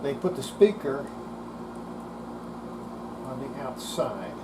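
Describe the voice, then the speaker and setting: Casual hands-on narrator, mid pitch, step-by-step workshop talk, clear air-conditioner noise in background. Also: sing-song, slow pace. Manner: talks through each step while working. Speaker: host demonstrating radio restoration.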